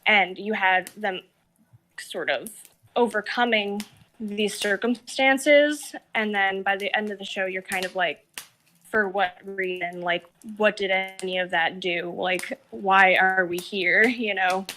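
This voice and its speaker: A woman's voice: medium pitch, steady tone, slow pace, bright, forceful tone, a little hiss or hum. A woman giving reflective, analytical opinions in a casual discussion, feeling her way through her phrasing. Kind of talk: casual, thoughtful discussion of a TV series.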